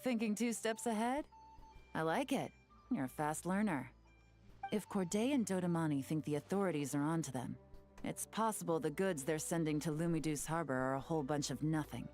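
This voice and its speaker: A woman voicing a calm, shrewd agent in a game, cool and composed with a teasing edge. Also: low voice, normal speed, faint music behind, close to an everyday voice. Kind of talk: game voice acting.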